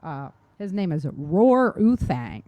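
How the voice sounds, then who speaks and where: A woman's voice: fairly low pitch, sing-song, slow, mellow, no echo, highs cut off. A woman co-hosting a show in a casual, chatty way, with an informal and enthusiastic manner. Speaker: podcast co-host and novelist. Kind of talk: casual chat.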